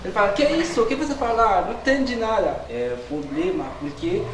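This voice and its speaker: A Haitian man with a Haitian Creole accent answering emphatically, growing louder when he stresses a point. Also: sits high, expressive, brisk, echoey room, background noise. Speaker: interviewee. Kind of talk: interview answers.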